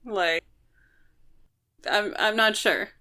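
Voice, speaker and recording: A woman's voice: mid-range voice, very slow, bright, forceful tone. A woman co-hosting a podcast, explaining a story in casual conversation. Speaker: podcast co-host. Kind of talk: casual book discussion, explaining plot.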